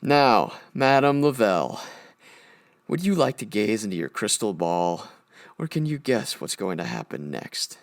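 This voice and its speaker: A man's voice: lowish voice, sing-song, a little slow, creaky, full character voice. A man playing a cool, sardonic crime photographer hero in an old-time radio style comedy drama, dry and unflappable. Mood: taunting.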